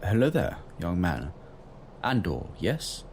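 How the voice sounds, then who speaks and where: Man acting a very deep, solemn fleet captain. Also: huge pitch swings, darkish tone, a little hiss or hum, full character voice. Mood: formal, cordial. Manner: formal, measured, solemn. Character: grave, kindly male fleet captain, formal officer. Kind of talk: audio drama voice acting.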